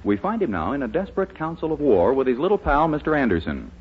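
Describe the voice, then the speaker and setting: Animated male vintage radio announcer. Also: medium pitch, fast, husky. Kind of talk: 1940s radio scripted narration. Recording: muffled, noisy 1940s radio recording.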